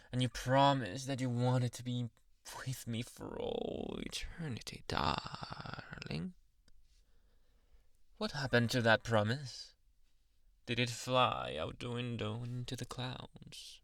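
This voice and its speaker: A man playing a jealous, obsessively loving anime boyfriend in an ASMR roleplay, breathy and often whispering, slow and menacingly tender, close to the microphone. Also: medium pitch, fairly bright tone, dry recording. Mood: wounded, pleading. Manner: slow, intimate, menacingly tender, lingering on words. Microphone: very close, dry ASMR microphone.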